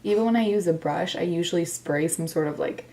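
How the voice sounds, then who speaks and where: Woman, twenties, beauty vlogger, slightly hoarse, warm low voice, chatty, bedroom close-up camera. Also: brisk. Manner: chatty, self-deprecating, lots of "like". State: slightly hoarse from being sick. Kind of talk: casual makeup first-impressions chat. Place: bedroom, indoors.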